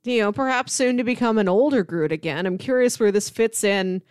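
A woman's voice: medium pitch, a little fast, darkish tone, smooth, no room sound, clean studio sound. A woman co-hosting a casual pop-culture podcast, explaining her thoughts in a conversational way. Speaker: podcast host.

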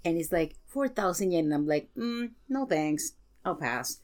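Young woman, fairly low voice, gushing, playful, slangy, casual podcast guest chat. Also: animated, normal speed, a little mellow.

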